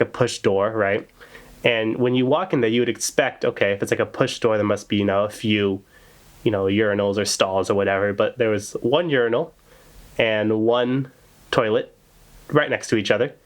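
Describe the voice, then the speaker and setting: A young man hosting a podcast in casual chat, getting over a cold, rambling with deadpan humor. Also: on the low side, normal speed. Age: young adult. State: recovering from a cold. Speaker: podcast host.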